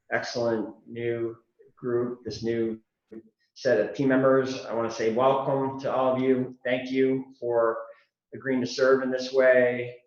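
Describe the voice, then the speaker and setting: A man who is a mayor giving measured public briefing remarks over a video call, pausing often with fillers. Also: mid-range voice, a little mellow. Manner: measured, pauses with many fillers. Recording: roomy, dull video call audio.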